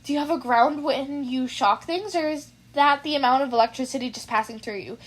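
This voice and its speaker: A woman's voice: high voice, a little fast. A woman voicing a nervous, eager, brainy teenage boy who rambles and apologizes in a fan fiction reading. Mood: excited rambling. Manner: rambling, apologetic, self-effacing. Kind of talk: fan fiction audiobook character voice.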